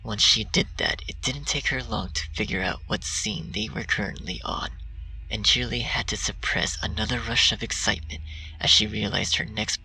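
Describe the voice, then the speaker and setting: A man reading dark fan fiction aloud in a hushed, half-whispered narration. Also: lowish voice, creaky. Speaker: story reader. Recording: distant mic with faint music.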